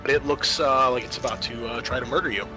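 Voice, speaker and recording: A man acting as game master, narrating and refereeing a tabletop roleplaying game in an informal, halting way. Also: mid-range voice, steady tone, bright, forceful tone, faint music behind.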